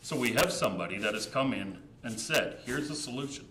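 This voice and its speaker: A man councillor with a bright, forward voice explains the situation plainly and matter-of-factly. The room is echoey, with a faint background hiss. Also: medium pitch, lively intonation, brisk. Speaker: town councillor. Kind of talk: council meeting debate.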